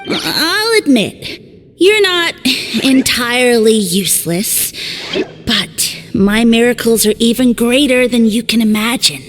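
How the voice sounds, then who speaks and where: Pompous fungus lord for a video game: high, wildly varied pitch, grandiose, haughty. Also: female voice, lively intonation, slow, soft background music. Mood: smug. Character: pompous self-styled great sage mushroom lord, male creature. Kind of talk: English video game voice acting.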